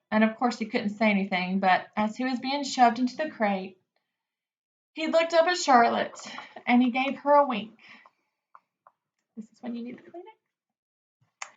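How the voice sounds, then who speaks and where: A woman reading a children's book aloud as an expressive narrator. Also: fairly high pitch, lo-fi sound.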